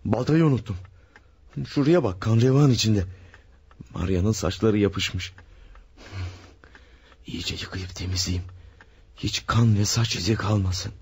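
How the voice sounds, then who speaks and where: A man's voice: fairly low pitch, measured pace, pauses often, a little mellow, slightly rough, full character voice. A man playing a cold-blooded killer in a radio drama, muttering and gloating to himself as he hides his crime. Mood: scheming. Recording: old radio play with faint hiss.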